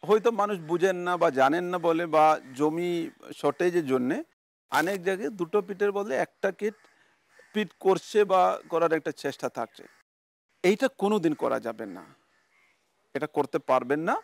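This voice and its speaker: A man's voice: high voice, expressive, moderate pace, vocal fry, pauses often, dry recording. A man with a West Bengal accent explaining policy to the public as a government official.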